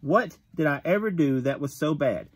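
A man in his thirties reading a wrestling magazine interview aloud at home, gruff and tough-talking, with the odd stumble, recorded on a phone camera at close range. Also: a little high, lively intonation, normal speed. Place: bedroom with shelves of collectibles.

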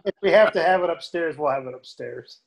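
Man, highish voice, swooping intonation, casual chat, echoey video call. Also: lively intonation, fairly quick. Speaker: club member.

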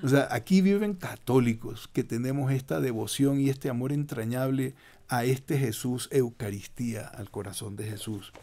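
A man's voice: medium pitch, normal speed. An older man from Ecuador, a Jesuit priest, explaining devotions in a radio studio interview with asides to the listeners. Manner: warm preaching tone, rhetorical asides to listeners. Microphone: studio microphone with headphones.

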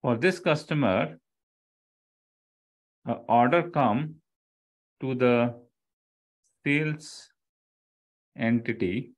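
A man's voice: medium pitch, drawn-out words, pauses often. A middle-aged man with an Indian accent, an online instructor explaining step by step, repeating key phrases and checking in with "okay" and "right". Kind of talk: live online lecture.

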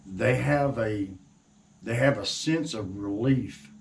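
Low-voiced older man, recorded at a distance. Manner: halting, folksy, reflective. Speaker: woodworker and sawmill owner hosting. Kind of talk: casual instructional chat to camera. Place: open-sided wooden sawmill shed outdoors. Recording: distant mic with faint outdoor noise.

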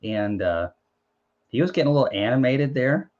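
A man's voice: mid-range voice, expressive, normal speed, darkish tone. Young man hosting a lo-fi video call. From Tennessee, USA. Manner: rambling, joking, many fillers and restarts. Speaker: host. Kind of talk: casual live chat. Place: small home room, indoors. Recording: dull lo-fi stream audio.

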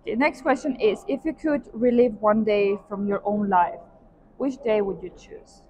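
A woman's voice: mid-range voice, moderate pace, a little mellow. A young woman hosting a casual interview while strolling indoors. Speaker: host. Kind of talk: casual walk-and-talk interview. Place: large indoor hotel hallway and walkway, while walking. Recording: clearly noisy hallway ambience.

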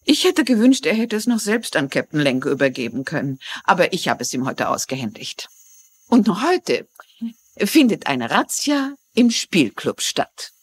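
An actress playing a shrewd, courteous mystery writer in a dubbed TV drama, articulate and measured. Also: female voice, on the low side, sing-song, husky, dry recording. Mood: friendly, composed. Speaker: actress in a German dub.

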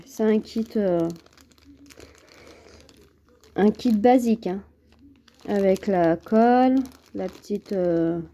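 A woman's voice: medium pitch, warm tone. A woman with a cold, chatting casually and hesitantly to camera at home while unboxing a craft kit, with many fillers and restarts. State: has a cold. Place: at home, at a table.

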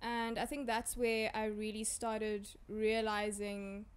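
A woman's voice: fairly high pitch, completely flat delivery, takes it slowly, clean tone. A young woman from South Africa, a guest in a casual sit-down interview, talking easily with many "um"s and "like"s into a handheld mic. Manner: chatty, thinks aloud with many "um"s and "like"s. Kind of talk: casual conversation. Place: small indoor room with curtains, seated in armchairs. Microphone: handheld mic passed between speakers.

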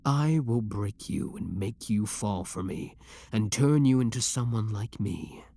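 A man playing an obsessive, menacing young villain with cold devotion, speaking deliberately and theatrically in a scripted ASMR roleplay. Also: on the low side, lively intonation, vocal fry, mellow, dry recording. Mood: calculating, obsessive.

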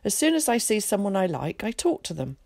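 A woman's voice: medium pitch, big pitch swings, gravelly, no echo, studio quality. Woman voicing a chatty eager schoolboy. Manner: quick, bright, well-spoken chatter.

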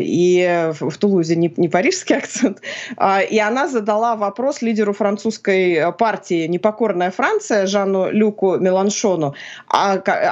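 A woman's voice: mid-range voice, a little mellow, lo-fi sound. A woman in her forties, a philologist and journalist, explaining things thoughtfully at home with frequent hesitation sounds. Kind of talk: conversational explanation on a video call. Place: home room with bookshelves.

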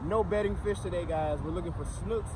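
Young male fishing vlogger, higher-pitched voice, excitable and slangy, recorded outdoors with clear background noise. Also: brisk. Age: young adult. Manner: excited, slangy, addresses viewers and buddies. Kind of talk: casual fishing vlog commentary. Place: outdoors by canals and urban waterways.

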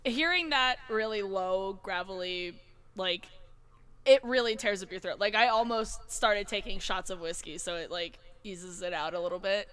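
A woman's voice: medium pitch, normal speed, fairly bright tone, smooth. A woman chatting casually in a cast Q&A as a voice actor, with a jokey, giggly, self-deprecating manner. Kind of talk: casual group chat.

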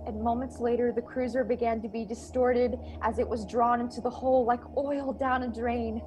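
A woman's voice: fairly high pitch, darkish tone, lightly acted. A young woman playing a grieving starship crew member in a science-fiction radio play, telling her story dramatically. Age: young adult. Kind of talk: dramatic first-person radio play narration. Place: bedroom at home, video call. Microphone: earphone headset on video call.

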